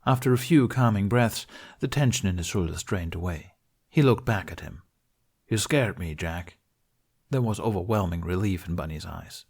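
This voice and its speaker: A man reading a fantasy story aloud as an expressive amateur narrator. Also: low-pitched, fairly quick, vocal fry, husky. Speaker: amateur podfic reader.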